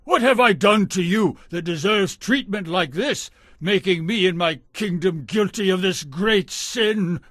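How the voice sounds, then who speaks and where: A man's voice: high voice, a little slow, a bit bright, no echo, clean studio sound, voice pushed up. A man playing an emphatic, declamatory ancient king in a dramatized audio Bible. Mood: outraged, accusing. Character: indignant ancient king, pleading and accusing.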